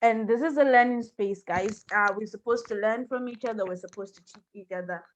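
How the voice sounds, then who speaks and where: A woman's voice: a little high, a little fast, slight background noise. A woman from Zimbabwe, a mental health and epilepsy advocate, speaking passionately on a panel call. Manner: passionate, emphatic. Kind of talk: panel discussion on video call. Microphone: video call. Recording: dull video call audio.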